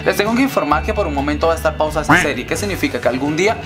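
A man's voice: on the high side, lively intonation, very fast, music in the background. A teenage boy from Colombia with a Colombian accent, chatting to camera in his bedroom and telling a rambling story with many fillers. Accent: Colombian Spanish. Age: teens. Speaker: YouTuber host. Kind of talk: casual vlog chat to camera. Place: bedroom, indoors.

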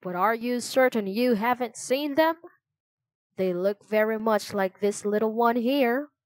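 Lady doing a creepy raspy bird-man king from a kids' storybook, slow and taunting, super dry sound. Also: fairly high pitch, dry recording, natural voice. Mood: mocking, sly. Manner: slow, mock-polite, taunting. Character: menacing Raven King, half man half bird, mocking villain.

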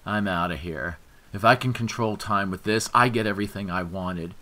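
A man's voice: medium pitch, lively intonation, a little slow, pushed and bright, voice pushed up. A man voicing a drunk, jealous ex-boyfriend who snarls and taunts. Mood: ranting. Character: drunk, jealous, sneering young male ex-boyfriend.

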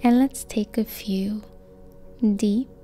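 A woman guiding a sleep meditation in a soft, breathy, near-whispered voice, calm and gentle, in short phrases with long pauses. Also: mid-range voice, soft background music. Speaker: yoga nidra meditation guide. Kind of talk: slow guided sleep meditation.

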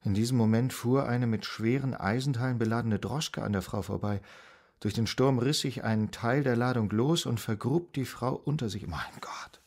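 An actor playing a courteous, troubled psychoanalyst with a formal, measured way of talking in a radio play. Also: male voice, medium pitch, darkish tone, close to an everyday voice. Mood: grave, shocked. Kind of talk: German radio drama acting.

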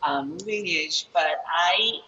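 Sex: woman. Origin: Chicago. Style: earnest casual talk. Pitch: very low. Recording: echoey large studio, muffled call audio. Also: slow, bright, forceful tone, slight background noise. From Chicago, United States. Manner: earnest, uses like and um. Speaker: guest, taiko performer. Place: large open studio space. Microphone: video call. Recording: echoey muffled room over video call.

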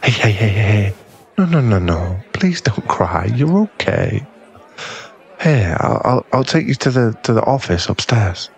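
A man playing a young werewolf bar owner in an ASMR boyfriend roleplay, teasing but secretly tender, with a halting, stammering delivery. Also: lowish voice, very animated, gravelly, muffled recording, heavily acted. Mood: soothing, gentle.

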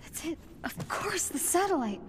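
An actress with an American accent playing an earnest, plucky teenage superhero girl in a game, with heartfelt, halting delivery. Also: very high-pitched, very animated, noisy background. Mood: earnest, pleading. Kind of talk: dramatic game cutscene acting.

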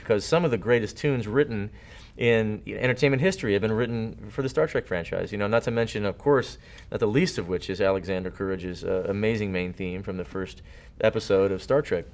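A man's voice: lowish voice, fairly quick. A man in his thirties explaining his thoughts earnestly and at length in a panel interview. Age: 30s. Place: indoor studio set.

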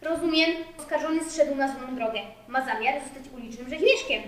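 A woman's voice: high-pitched, normal speed, natural voice. A female performer playing a stern, formal judge who questions and declaims in an amateur school radio play. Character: stern judge presiding over a comic trial. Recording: echoey room, distant mic, background noise.

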